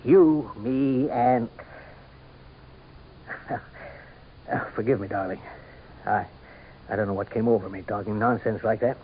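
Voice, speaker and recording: An actor playing an adoring, jealous, earnest politician husband in a radio drama. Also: male voice, on the low side. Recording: tinny, faintly noisy old radio recording.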